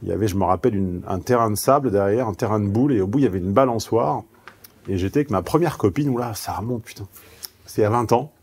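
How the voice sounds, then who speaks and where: A man's voice: on the low side, fast, darkish tone, husky. A man who grew up in southeastern France giving a paid seminar, frank and salty, telling personal anecdotes. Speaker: seminar speaker. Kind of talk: seminar talk.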